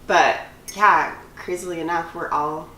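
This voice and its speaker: A woman's voice: on the low side, fairly even intonation, a little slow, fairly bright tone, echoey room, far from the mic, slight background noise, low-quality recording. A woman in her late thirties co-hosting a casual vlog, rambling with many fillers. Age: 30s. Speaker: cosmetologist and instructor, channel co-host. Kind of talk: casual chat.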